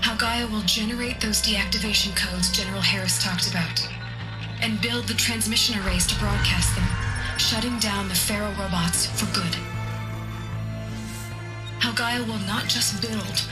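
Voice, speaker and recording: An actress playing a visionary woman scientist in a video game, presenting in a measured, grave, persuasive way. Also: mid-range voice, fairly even intonation, music in the background, natural voice, low-quality recording. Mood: grave, resolute. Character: visionary woman scientist leading a project to revive life.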